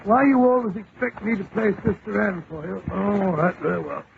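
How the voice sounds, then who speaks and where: A high-voiced, blustering English gentleman. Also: expressive, brisk, vocal fry. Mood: genial. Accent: British English. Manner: blustering, stammering. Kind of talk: 1940s radio acting. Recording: noisy tinny old radio broadcast.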